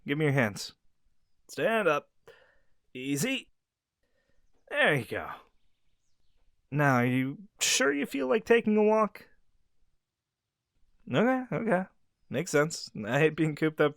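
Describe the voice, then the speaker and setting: A man voices a friendly young werewolf who talks softly and reassuringly to you, his tone rising and falling with real animation. The close mic sounds completely dry. He stays easygoing and caring. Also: fairly high pitch, creaky, dry recording, full character voice. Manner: gentle, reassuring, speaks directly to the listener. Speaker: audio roleplay character. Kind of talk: scripted audio roleplay addressing the listener.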